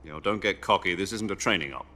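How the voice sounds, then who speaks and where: An actor playing a seasoned, stern military commander who gives measured, authoritative briefings in a cinematic video game. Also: male voice, low-pitched, pushed and bright. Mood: firm, serious.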